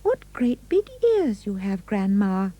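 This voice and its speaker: A woman's voice: mid-range voice, big pitch swings, slow, warm tone, no room sound. A woman voicing a confident young girl from a storybook, sweet and assured. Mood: innocent.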